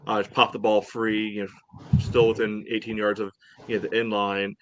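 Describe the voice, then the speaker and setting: Male sports podcast host, lowish register. Casual match breakdown, halting phrasing full of "you know.". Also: little pitch movement, a bit bright, poor audio.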